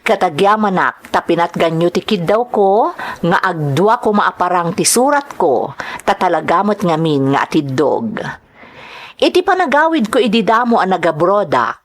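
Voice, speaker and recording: A woman's voice: lowish voice, very animated, moderate pace. A woman with an Ilocano accent reading scripted announcements and letters as the host of a drama show. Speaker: host and narrator of a radio-style drama show.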